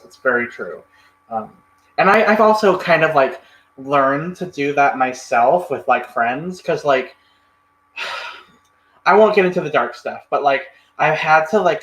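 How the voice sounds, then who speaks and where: A man chats with his livestream viewers from a room at home, his fairly high voice wandering through friendly, rambling thoughts full of fillers. He keeps thanking people and answering comments as they come in. The sound is roomy, with a clear echo off the walls. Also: a bit bright, lo-fi sound. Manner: rambling, chatty, many fillers and restarts. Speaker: livestream host. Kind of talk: casual chat reading live comments.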